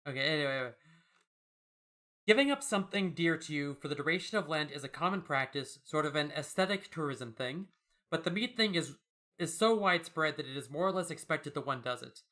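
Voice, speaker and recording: A man's voice: a little high, animated, fairly quick, sharp tone. A man hosting a podcast, chatting casually and reading out listener mail in a wry, jokey way. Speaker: podcast host.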